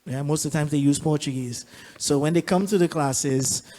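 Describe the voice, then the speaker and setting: Animated man from Trinidad. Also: a little high, normal speed. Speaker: teacher. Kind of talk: panel talk at a conference.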